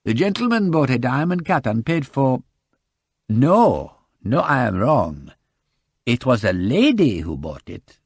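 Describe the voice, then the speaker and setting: Man voicing a French shop girl, lilting and high. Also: husky, no echo, clean studio sound. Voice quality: raised, lilting put-on voice, French phrases. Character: young Frenchwoman shop assistant, chatty and eager. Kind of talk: audiobook character voice.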